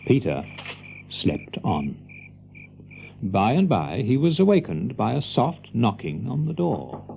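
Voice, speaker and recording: A man narrating a scripted children's story dramatically, as an expressive, confiding storyteller. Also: medium pitch, takes it slowly, gravelly, slight background noise.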